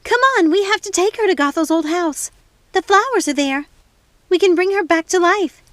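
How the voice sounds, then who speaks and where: Storybook young heroine, played by a female reader: high, urgent, distraught, defiant, recorded dry and close. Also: moderate pace, fairly bright tone, dry recording. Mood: urgent hope. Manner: urgent, impassioned pleading.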